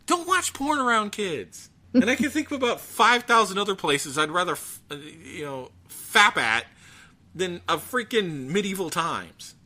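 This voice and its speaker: A man chatting casually as a podcast host, ranting in an animated, profane way. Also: high-pitched, measured pace. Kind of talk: casual chat about gaming news.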